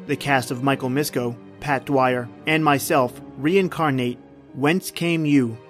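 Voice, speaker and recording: A man reading a scripted, spooky introduction as the host of a horror podcast. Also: medium pitch.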